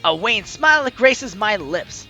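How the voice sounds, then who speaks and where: A man's voice: high-pitched, takes it slowly, vocal fry, dull audio. A man hosting a let's play, reading a visual novel story aloud in a theatrical, dramatic way. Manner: theatrical, animated delivery. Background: game music.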